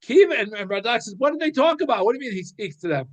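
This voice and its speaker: A man with a notably high-pitched voice teaches an informal Torah class. He explains the text like an animated storyteller, thinking aloud and circling back over phrases as he goes. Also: very fast. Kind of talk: informal religious shiur, explaining scripture.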